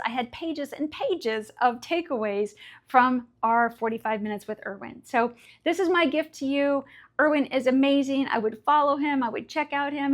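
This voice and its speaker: A woman enthusiastically introducing a keynote talk she recommends to her viewers. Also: sits high. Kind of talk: direct-to-camera introduction.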